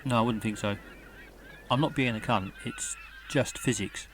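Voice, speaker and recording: A man plays a dry castaway rocket builder in a comedy audio drama. His voice is mid-pitched and matter-of-fact, with faint noise behind it. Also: moderate pace, natural voice. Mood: dry, unmoved. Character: matter-of-fact male castaway who built a homemade rocket.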